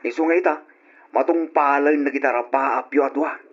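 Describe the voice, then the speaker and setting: A man's voice: mid-range voice, fairly even intonation, brisk, close to an everyday voice. An actor playing a jovial, teasing male relative in an old Ilocano radio drama, drawling his words. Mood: teasing, glad. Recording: muffled lo-fi old radio recording with music.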